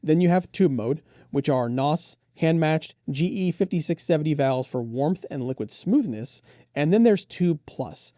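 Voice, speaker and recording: Mellow-voiced man reviewing audio gear. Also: fairly high pitch, lively intonation, a little slow, no room sound, studio quality. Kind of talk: conversational product rundown, reading out specs.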